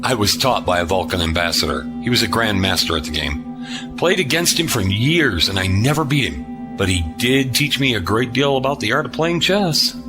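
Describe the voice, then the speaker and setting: Low, animated starship captain acted by a man. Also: over music. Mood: reflective. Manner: measured explanations, firm commands. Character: confident, shrewd male starship captain, steady under pressure. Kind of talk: sci-fi audio drama acting.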